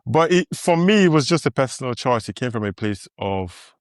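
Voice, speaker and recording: A man's voice: mid-range voice, sing-song, normal speed, no echo, studio quality. A man hosting a podcast in casual conversation, thoughtful, with many fillers and restarts. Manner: thoughtful, lots of fillers and restarts, laughs easily. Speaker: podcast host.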